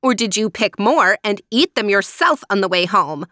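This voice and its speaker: A woman voicing a greedy, bad-tempered spoiled girl with a rude, sharp, demanding manner in a storybook tale. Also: medium pitch, expressive, moderate pace, dry recording, clean studio sound, close to an everyday voice. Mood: angry.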